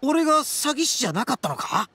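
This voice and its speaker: An actor voicing a brash, boastful young male oni gang boss in a game, with rough, swaggering, melodramatic speech. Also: very high-pitched, sing-song, a little fast, pushed and bright, dry recording, exaggerated voice. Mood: shocked, dejected. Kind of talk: Japanese game voice acting.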